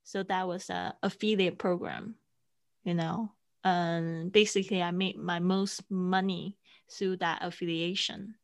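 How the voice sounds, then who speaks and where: A woman whose first language is Mandarin talks in English as a podcast guest, taking her time. Her lowish voice carries a gravelly fry. She pauses often, with fillers and restarts. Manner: unhurried, with frequent fillers and restarts. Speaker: guest, online teacher and podcaster. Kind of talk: casual interview conversation.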